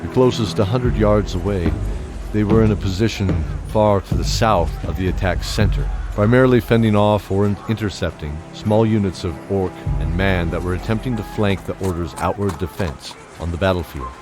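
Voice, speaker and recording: Deep-voiced man narrating fantasy drama. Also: moderate pace. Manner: dramatic storytelling. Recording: prominent music bed behind voice.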